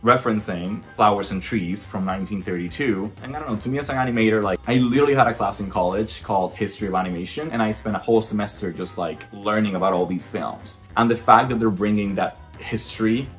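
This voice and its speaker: Low-voiced Dominican man reviewing films, music behind. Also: fast talker, hardly any pauses, a bit bright. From Dominican Republic. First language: Spanish. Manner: emphatic, conversational. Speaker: animator and film reviewer. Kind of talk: casual opinionated film review vlog.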